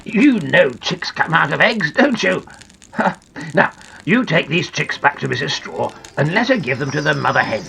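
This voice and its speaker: A woman's voice: deep voice, sing-song, measured pace, creaky, a bit bright, heavily acted. A woman voicing a fussy, scolding but kindly male gnome from a children's storybook, exclaiming and reproving, with some background noise. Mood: scolding, relenting.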